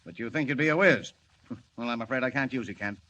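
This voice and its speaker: An actor playing a harried, impatient newspaper editor, blunt and brusque, in a 1940s radio drama. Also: male voice, mid-range voice, fast, pushed and bright, lo-fi sound, natural voice. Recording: faintly noisy 1940s radio recording.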